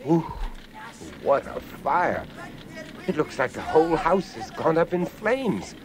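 An actor playing a grave, inquisitive investigator with theatrical delivery in a radio drama. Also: male voice, fairly high pitch, big pitch swings, takes it slowly, a crowd in the background. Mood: alarmed.

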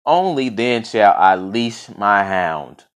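A man reading aloud and voicing a mighty male forester god who booms out stern commands. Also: very animated, takes it slowly. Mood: decreeing. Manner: booming, decreeing.

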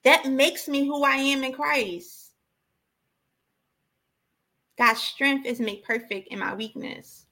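A woman's voice: sits high, takes it slowly, pauses often, pushed and bright. A woman giving an impassioned, informal sermon as a preacher, exhorting emphatically and repeating key phrases.

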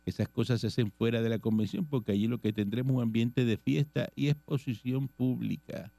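A Puerto Rican man hosts a radio talk show, reading political items aloud and then chatting about them. His voice is gravelly and mellow. The sound is dry and close. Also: mid-range voice, steady tone, a little fast, no room sound. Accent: Puerto Rican Spanish. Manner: reads news items and comments on them conversationally.

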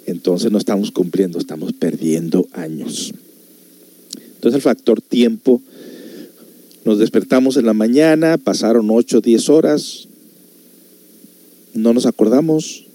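A man's voice: fairly low pitch, a little slow, pauses often, mellow, no echo. A man hosting a show, talking casually to listeners in a musing, conversational way with rhetorical questions. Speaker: host of a music and reflection show.

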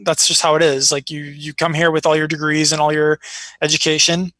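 A man's voice: a little high, flat delivery, fairly quick, pushed and bright, dry recording. An American man talking casually and telling personal stories in an informal, rambling way peppered with "like". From born in Iraq, raised in Chicago, United States. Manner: rambling, informal, frequent filler "like". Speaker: interview guest.

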